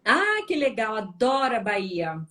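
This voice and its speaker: A woman's voice: fairly high pitch, very animated, measured pace, a bit bright. A tired woman from Brazil hosting a casual live stream, answering viewers with lots of tag questions. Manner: chatty, frequent tag questions.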